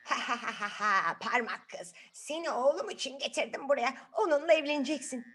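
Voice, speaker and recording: A woman's voice: high voice, lively intonation, fairly quick, fairly bright tone, breathy edge, pitched above the performer's own voice, exaggerated voice. A woman voicing a bossy, gloating ugly mother frog in a children's storybook tale, with music. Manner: gloating, commanding.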